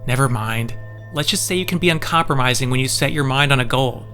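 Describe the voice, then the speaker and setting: A man's voice: medium pitch, brisk, sharp tone, soft background music, close to an everyday voice. An actor playing a mild-mannered, troubled man in an audio drama, hesitant and introspective in a conversational way. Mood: gentle, flirtatious. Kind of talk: scripted audio drama acting.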